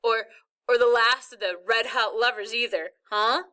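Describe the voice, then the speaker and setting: A woman's voice: fairly high pitch, normal speed, sharp tone. A woman voicing a teasing, amused father in his thirties in a storybook reading.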